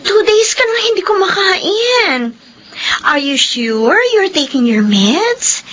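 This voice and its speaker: A woman acting a caring, protective friend in a Filipino radio drama, coaxing gently and mixing English with Tagalog. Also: very high-pitched, lively intonation, slow, heavily acted. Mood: concerned. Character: caring, motherly, protective young female friend. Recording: dull lo-fi broadcast, music underneath.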